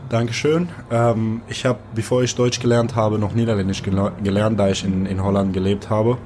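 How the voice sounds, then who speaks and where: Give me a young man from Syria, very low flat voice, pausing a lot, with background noise. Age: 20s. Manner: halting, many filler pauses. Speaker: guest swimmer. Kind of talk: interview answers.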